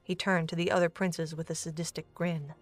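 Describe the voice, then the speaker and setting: A woman's voice: fairly low pitch, little pitch movement, brisk, no echo. A woman narrating a scripted dramatic story as the narrator of an audio drama. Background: music.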